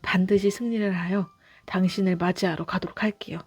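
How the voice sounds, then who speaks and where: A woman's voice: fairly low pitch, steady tone, a little fast, slightly rough, soft background music. Woman reading as an earnest, lovestruck young man. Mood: tender. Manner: earnest, emotional.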